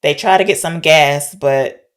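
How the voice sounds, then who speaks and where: A woman's voice: sits low, unhurried. A woman podcast co-host chatting casually and candidly as she recaps a film, often posing questions. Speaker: podcast co-host discussing films.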